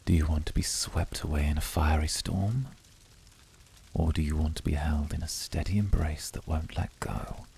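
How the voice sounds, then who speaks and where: A man voicing a composed, poetic, devoted incubus in a flirty ASMR roleplay, speaking formally in a measured, seductive, often whispery way with a raspy, breathy voice. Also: extremely low pitch, steady tone, a little mellow, a little hiss or hum. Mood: seductive, tender. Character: composed, poetic, devoted male incubus of calm, icy temper.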